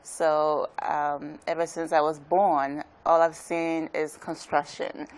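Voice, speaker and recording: A woman from Ghana, a building contractor, speaks in a very low, deep voice. She answers softly and hesitantly, filling pauses with "um.". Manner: soft-spoken, hesitant, frequent "um"s. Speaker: contractor, guest. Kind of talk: interview answers.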